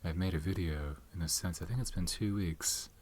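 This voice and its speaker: Male ASMR host, very low voice, soft-spoken, meandering delivery, faint noise floor. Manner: rambling, pauses to recall names. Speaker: ASMR host reviewing movies.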